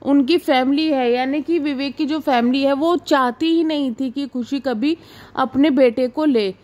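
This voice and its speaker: A woman's voice: high voice, a little slow, darkish tone. A woman hosting a reaction video, talking informally to viewers and explaining things in a gossip commentary style.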